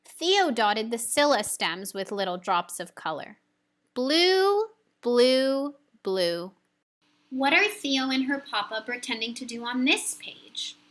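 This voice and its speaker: A woman narrates a picture book for young children. Her voice sits a little high, and she reads very slowly, with careful, deliberate phrasing. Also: big pitch swings, a bit bright. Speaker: storybook reader. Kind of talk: children's story read-aloud, scripted narration.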